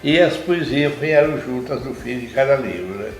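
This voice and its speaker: Old brazilian guy in his 90s, slow and thoughtful, roomy echo, faint music. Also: mid-range voice, expressive. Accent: Brazilian Portuguese. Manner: slow, reflective, with small restarts. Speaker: interviewee, retired lab doctor. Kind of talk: reminiscing conversation. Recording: echoey room with faint music.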